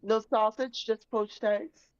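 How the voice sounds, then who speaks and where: A woman with a somewhat high voice chats on a stream and reacts quickly, often amused. Her sound is a little dull and muffled. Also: steady tone. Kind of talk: casual stream chat.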